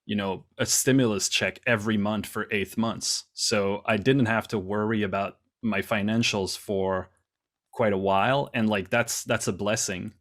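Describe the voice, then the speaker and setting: A man from Canada, a musician guest talking casually in an interview over a video call, quick and full of restarts. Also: fairly low pitch, steady tone. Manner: quick, restarts often, self-deprecating laughs.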